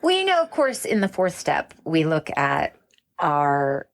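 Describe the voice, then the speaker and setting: A woman's voice: low-pitched, huge pitch swings, unhurried, gravelly. Earnest, effusive woman as interview guest. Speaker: interview guest, recovery program veteran. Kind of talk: conversational personal sharing.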